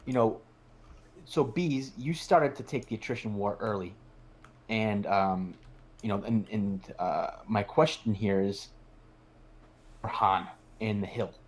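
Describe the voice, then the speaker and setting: Male commentator, lower voice, chatty and rambling. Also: takes it slowly, pauses often. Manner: rambling, many restarts. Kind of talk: post-game discussion.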